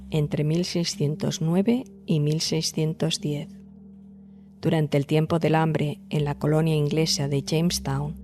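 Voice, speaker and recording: A woman hosting and narrating a scripted storytelling podcast, addressing listeners directly in measured phrasing. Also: sits low, warm tone. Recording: music behind the voice. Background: background music.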